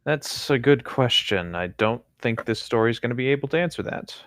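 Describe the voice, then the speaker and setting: A man reading a story aloud for a comedy podcast as co-host, in an amused, expressive way. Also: medium pitch, brisk, no echo, studio quality.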